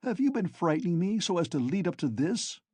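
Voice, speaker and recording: A man's voice: high voice, sing-song, fairly quick, mellow, husky, dry recording, pitched above the performer's own voice, exaggerated voice. A man reading an audiobook, voicing an embarrassed young clerk who answers hastily. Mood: flustered. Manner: hasty, embarrassed. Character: flustered young police clerk.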